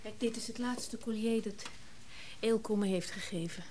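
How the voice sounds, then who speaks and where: An actress playing a well-to-do new widow in a radio play, clingy and scornful. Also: female voice, medium pitch, moderate pace, mellow, slight background noise, natural voice. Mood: brisk, chatty. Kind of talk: Dutch radio play acting.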